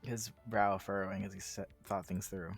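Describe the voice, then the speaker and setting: A man's voice: sits low, little pitch movement, normal speed, breathy edge, soft background music. A man reading a visual novel aloud in a casual way, breaking in with informal, sweary commentary. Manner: informal, profane, interjecting.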